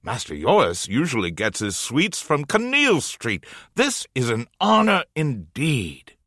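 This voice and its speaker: Woman doing a deep, jovial old baker. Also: huge pitch swings, a little slow, a bit bright, no room sound, clean studio sound, full character voice. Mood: pleased. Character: jovial middle-aged male master baker.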